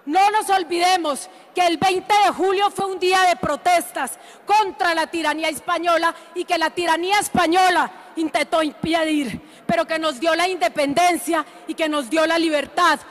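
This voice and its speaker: A woman, delivering a forceful, indignant political speech in a parliament chamber. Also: extremely high pitch, steady tone, normal speed, long reverb tail. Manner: forceful, indignant, emphatic oratory. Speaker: congresswoman. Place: large chamber of parliament, indoors.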